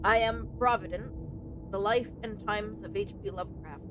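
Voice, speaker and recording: A woman streaming a let's play, reading game text aloud and commenting in a casual, informal way. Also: normal speed. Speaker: streamer playing and reading a visual novel. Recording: clear rumbling background noise.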